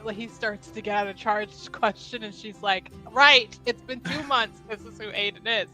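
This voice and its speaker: A woman playing a tabletop game, narrating her character's actions with halting, laughing talk. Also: mid-range voice, steady tone, pushed and bright, soft background music, poor audio. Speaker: player. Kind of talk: casual tabletop play.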